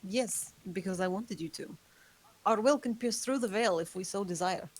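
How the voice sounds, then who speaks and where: A woman reading a calm ancient vampire. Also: medium pitch, moderate pace, husky, close to an everyday voice. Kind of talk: amateur table read. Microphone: online voice call.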